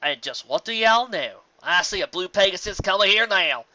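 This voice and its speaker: A folksy, practical farm mare from a cartoon fan story, acted out by a narrator. The voice is forceful and steady in temper, recorded close and dry. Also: medium pitch, moderate pace, dry recording, lo-fi sound, voice pushed up. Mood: reassuring. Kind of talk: fan fiction character voice.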